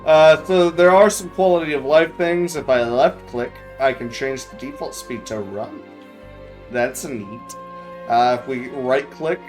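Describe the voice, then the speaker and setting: A man giving casual, self-deprecating gaming commentary with a co-host, speaking with a speech impediment. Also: medium pitch. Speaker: let's play host reading game dialogue aloud. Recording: game music behind the voice. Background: video game music.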